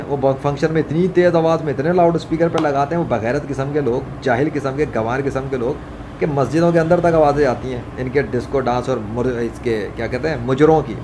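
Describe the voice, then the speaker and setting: Indignant man ranting over clear background noise. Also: mid-range voice. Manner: indignant, scolding, repeats phrases for emphasis. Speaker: religious vlogger. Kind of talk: informal religious monologue, ranting. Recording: clearly noisy, ambient background hum. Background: ambient noise, running fan.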